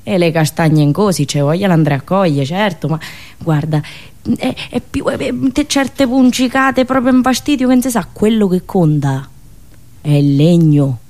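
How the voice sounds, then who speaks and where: A woman storyteller with a fairly low voice and a rural central Italian accent. She performs a theatrical monologue expressively, leaning on pauses and repeated words. Her phrasing drifts into country dialect. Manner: expressive, dramatic pauses, repetitions and onomatopoeic asides; slips into rustic dialect. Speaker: storyteller and radio show host.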